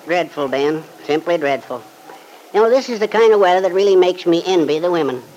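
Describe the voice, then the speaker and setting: An actor playing a fussy small-town know-it-all columnist in a classic radio drama, prissy, chatty and self-satisfied. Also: male voice, high voice, animated, a little fast, a little mellow, lo-fi sound. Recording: noisy old radio recording.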